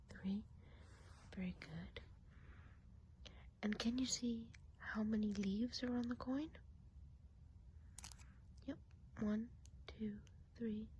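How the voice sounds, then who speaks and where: A woman playing a kindly, gossipy witch healer of the woods in a soft, breathy whisper, chatty and soothing, for an ASMR roleplay. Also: medium pitch, slight background noise, natural voice, rough recording. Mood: gentle, pleased.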